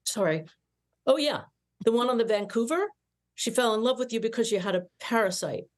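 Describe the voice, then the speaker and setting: A woman playing a brash, teasing young starship officer with a blunt manner in an animated sci-fi comedy table read. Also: a little high, a little fast, natural voice. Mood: amused.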